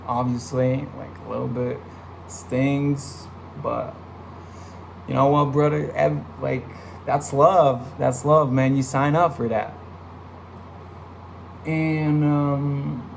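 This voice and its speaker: Reflective young man, halting delivery. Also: mid-range voice, darkish tone, a little hiss or hum. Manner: reflective, hesitant, many fillers and restarts. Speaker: vlogger and musician. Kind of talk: casual personal update. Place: home studio room.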